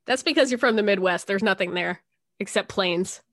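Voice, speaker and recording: A woman's voice: medium pitch, normal speed, no room sound, clean studio sound. A woman in her late twenties from the US East Coast, hosting a casual podcast chat with a chatty, self-deprecating manner. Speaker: podcast host.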